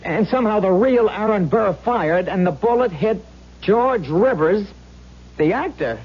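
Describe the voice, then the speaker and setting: An actor playing an earnest, agitated American police officer in a 1970s radio drama, speaking urgently and haltingly. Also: male voice, sits high, lively intonation, slightly rough, heavily acted. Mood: agitated, insistent. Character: earnest, agitated male police officer insisting on impossible truth. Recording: dull, noisy old radio broadcast.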